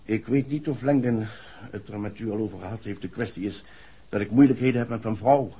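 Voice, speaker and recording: An actor playing a proud, uneasy husband, husky, formal and emphatic, in a 1960s radio play. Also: male voice, fairly low pitch, brisk, mellow. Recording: dull old radio recording.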